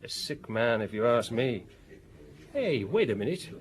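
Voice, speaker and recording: A nosy barman in a radio drama. His medium-pitched voice swoops about as he gossips. He is blunt, chatty and full of opinions. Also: sing-song, a little slow. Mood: nosy, gossipy. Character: nosy, opinionated male bar owner, gossipy witness.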